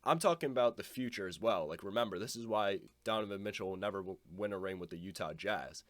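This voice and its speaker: A man's voice: lowish voice, fast talker, dry recording. A young man with a stuffed-up nose giving a casual, blunt basketball opinion monologue, swearing now and then. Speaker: basketball commentator.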